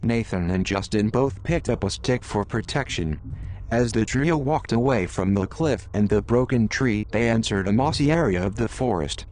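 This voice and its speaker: A man narrating an adventure story aloud in an unhurried, deliberate way. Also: lowish voice, lively intonation, darkish tone, slight background noise, rough recording. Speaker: author reading own story.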